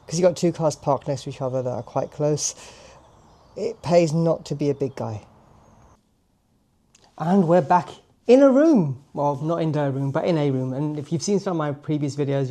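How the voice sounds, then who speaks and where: A man's voice: fairly high pitch, dark tone. A man in his late forties with a British accent, chatting casually to camera in a jokey vlog with playful asides. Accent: British English. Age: 40s. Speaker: vlogger.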